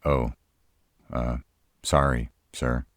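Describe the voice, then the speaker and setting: A man's voice: very deep voice, little pitch movement, very slow, fairly bright tone, no echo, exaggerated voice. A man voicing a sarcastic young male vampire who is polite but defiant, in a roleplay audio drama with a dry, defensive delivery. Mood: sheepish.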